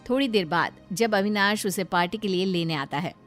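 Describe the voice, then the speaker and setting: A woman's voice: medium pitch, moderate pace, a bit bright. Woman narrating a story over music. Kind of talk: scripted Hindi moral story narration.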